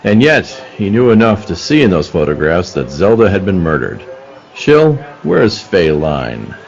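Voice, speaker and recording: A man playing an authoritative police detective in a radio play, deliberate and no-nonsense. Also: low-pitched, very animated, vocal fry, a little mellow, dull audio, faint music behind, exaggerated voice. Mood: probing.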